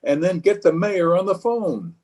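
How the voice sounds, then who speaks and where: An actor playing a blustery, pompous, scheming middle-aged water commissioner, hammy and exclamatory, in an old-time radio comedy. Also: male voice, high-pitched, moderate pace, a little mellow. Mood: blustering.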